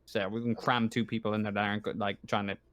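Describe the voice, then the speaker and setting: A man's voice: fairly low pitch, level tone, fast, a bit bright. A man acting as game master in a casual tabletop roleplaying chat, informal and joking, with some swearing.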